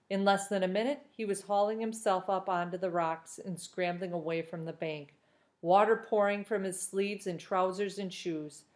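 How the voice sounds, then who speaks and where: A woman reads a children's novel aloud in an expressive storytelling style, shaping the phrases with the drama of the tale. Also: medium pitch. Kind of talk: expressive storybook narration with brief asides to listeners.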